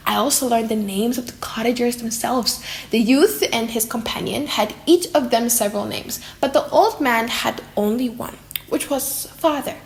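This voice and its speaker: A woman voicing a lonely, tender monster in a dramatic, slightly silly audiobook reading, theatrical and emotive with drawn-out words. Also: fairly high pitch, close to an everyday voice. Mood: yearning. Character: lonely, tender, wretched monster, eager to learn. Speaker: audiobook narrator.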